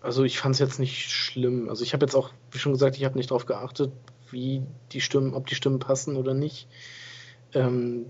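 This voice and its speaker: A man of middling pitch speaks in a flat, even tone as he chats on a gaming podcast. He is easygoing and often corrects himself partway through a sentence. The recording is muffled and lo-fi. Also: normal speed, breathy edge. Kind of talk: casual game review chat. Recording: dull lo-fi home recording.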